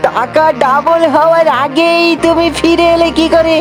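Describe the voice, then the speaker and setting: Male cartoon fox, squeaky high pitch, unhurried, gullible, excitable, repetitive exclamations, sing-song. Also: music in the background. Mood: bewildered. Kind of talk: comic cartoon dialogue.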